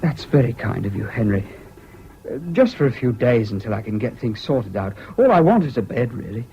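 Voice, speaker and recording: An actor in a 1970s British radio drama playing a troubled, self-pitying jilted husband, halting and plaintive, on an old recording. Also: male voice, medium pitch, big pitch swings. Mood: subdued, halting. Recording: dull, faintly noisy old radio recording.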